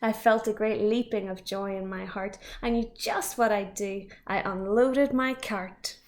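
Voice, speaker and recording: A woman's voice: mid-range voice, measured pace. A woman, a teacher reading a picture book aloud expressively with small asides.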